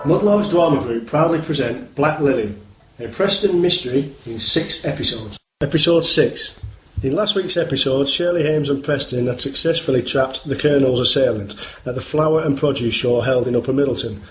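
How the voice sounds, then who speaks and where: A man's voice: medium pitch, normal speed, poor audio. A man reading scripted introductions and credits as the announcer of a radio play. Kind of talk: scripted narration. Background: loud music.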